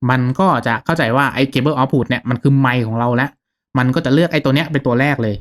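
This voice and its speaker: Casting: male tutorial host, medium pitch, casual and courteous step-by-step explainer for a gaming channel. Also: fast. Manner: informal, polite, explanatory, checking in with listeners.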